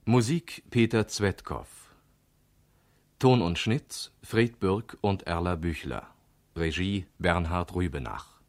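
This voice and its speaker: Deep-voiced male radio announcer, measured. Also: no room sound. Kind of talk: formal scripted credits announcement.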